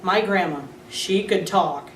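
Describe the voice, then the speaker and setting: A woman's voice: lowish voice, slow pace, roomy sound, recorded from a distance, slight background noise. A woman voicing an easygoing father who tells stories in a children's storybook reading. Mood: fond.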